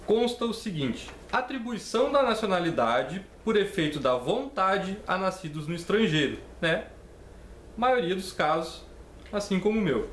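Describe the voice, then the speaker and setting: Brazilian man in his twenties, echoey room. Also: sits high. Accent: Brazilian Portuguese. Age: 20s. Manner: conversational, checks in with "beleza?" and "né?". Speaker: channel host explaining citizenship law. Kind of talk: casual explainer reading legal text. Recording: echoey room with faint ambient noise.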